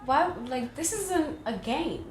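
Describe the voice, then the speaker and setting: Low-voiced woman chatting in an echoey room. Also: lively intonation, takes it slowly. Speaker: guest. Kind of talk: casual chat. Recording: roomy with loud music behind.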